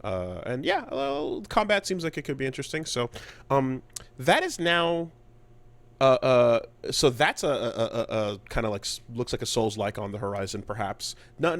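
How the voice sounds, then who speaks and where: A man co-hosting a gaming podcast in casual chat, halting and repeating words as he thinks aloud. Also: mid-range voice. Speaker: podcast co-host.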